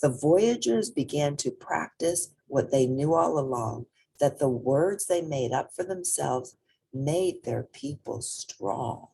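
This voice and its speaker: A woman reading a children's picture book aloud in a gentle, unhurried, expressive way. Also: low voice, vocal fry, slight background noise. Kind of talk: expressive storybook read-aloud.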